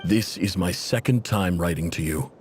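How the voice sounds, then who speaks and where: An actor playing a middle-aged desert tribe chief and doting father, speaking with fatherly warmth in a game cutscene. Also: low-pitched, moderate pace, slight background noise, lightly acted. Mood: worried.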